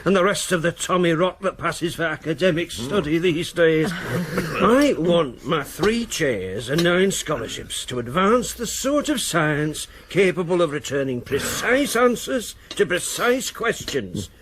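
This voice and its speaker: A man's voice: fairly high pitch, very animated, a little slow, fairly bright tone, slight background noise. A man playing a wealthy, opinionated lord in a radio drama, starting hesitantly and then turning blunt and emphatic. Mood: blunt, dismissive.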